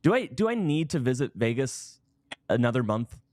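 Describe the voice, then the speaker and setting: A man's voice: mid-range voice, big pitch swings, normal speed, darkish tone, dry recording. Casual male podcast panelist, dry close sound. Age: 30s. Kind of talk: casual chat.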